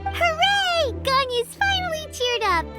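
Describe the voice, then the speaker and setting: A woman voicing a tiny, excitable, childlike female companion sprite in a video game, squeaky and exclamatory. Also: unhurried, exaggerated voice. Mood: excited, cheerful. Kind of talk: scripted game character dialogue. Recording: game dialogue over loud background music.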